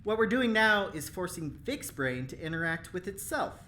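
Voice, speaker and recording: A cocky jailed engineer in an audio drama, low-voiced and swinging widely in pitch. The delivery is breezy, playful and teasing, with faint noise behind. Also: female voice, very animated, normal speed, fairly bright tone. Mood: confident. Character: cocky, teasing engineer locked up in a prison facility.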